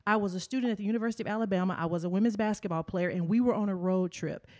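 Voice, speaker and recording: A woman's voice: mid-range voice, rapid-fire, a little mellow. A woman hosting a panel discussion, explaining terms plainly and then asking her guests questions. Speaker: podcast host.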